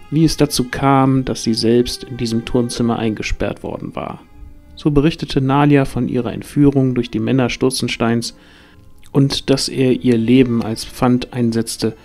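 A man's voice: medium pitch, gravelly, a little mellow. A man reading scripted narration as the storyteller of a fantasy audio drama.

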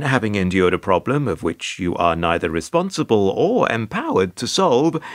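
Man acting a wry, weary hotel receptionist, low-pitched. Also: a bit bright, no room sound, studio quality. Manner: wry, resigned, long-winded and formal. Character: world-weary, put-upon male hotel receptionist narrating his troubles. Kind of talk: radio play monologue and dialogue.

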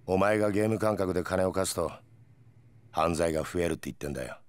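Man playing a gruff, very low-voiced police detective. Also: fast, background noise, close to an everyday voice. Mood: scolding. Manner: rough, blunt, intimidating. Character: gruff, menacing middle-aged male police detective. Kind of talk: dramatic video game cutscene acting.

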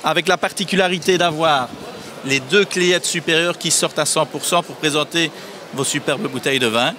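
A man's voice: on the high side, moderate pace, noisy background. A man in his thirties, a sales representative explaining a product feature by feature at a trade fair stand. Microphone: handheld microphone held by interviewer.

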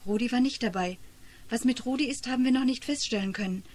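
Woman playing a worried mother, fairly high voice. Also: fast talker, a little mellow, lightly acted. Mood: grave, hushed. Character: worried mother, relieved and grave. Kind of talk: radio play acting.

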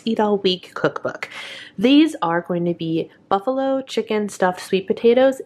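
A woman narrating a casual cook-along in her home kitchen, chatty and upbeat, laughing at her own slip-ups. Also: on the low side. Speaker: home cooking vlogger. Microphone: camera over the counter, hands in frame.

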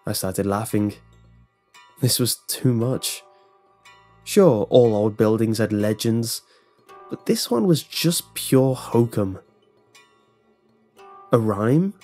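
A man's voice: on the low side, animated, slow pace, pauses often, warm tone, soft background music. A man reading a scripted first-person horror story as its narrator.

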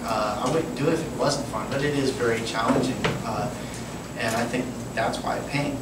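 A man talking informally as a painter on a panel in short, halting phrases, recorded from a distance in an echoing, very noisy hall. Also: mid-range voice. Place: large wooden hall with seated audience. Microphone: far camera.

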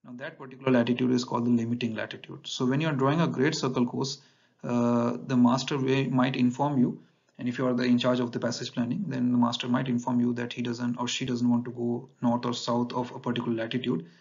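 A man teaching navigation over slides in a measured lecture, often pausing with fillers. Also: mid-range voice, little pitch movement, darkish tone. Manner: measured, explanatory, with frequent fillers and restarts. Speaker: navigation instructor. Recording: dull lo-fi voice-over.

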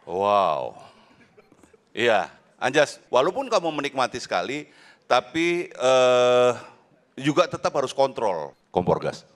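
A man's voice: medium pitch, big pitch swings, slow pace. A man giving casual feedback and banter as a comedy show judge in a TV studio. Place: judges' desk in large TV studio.

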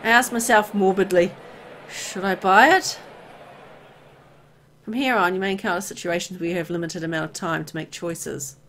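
A woman reading visual novel text aloud as expressive, storytelling narration. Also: on the low side, quick pace, few pauses, a little hiss or hum. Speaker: video game player and narrator.

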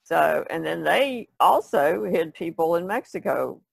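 A woman chats casually on a podcast panel. Her voice has a gravelly fry and moves around a lot in pitch. It is recorded close and dry. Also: lively intonation, unhurried, no room sound. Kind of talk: casual chat.

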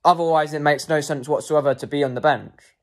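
A man's voice: on the high side, steady tone, fairly quick. A young man giving casual post-match player ratings as a football fan, hesitant with frequent ums and self-corrections.

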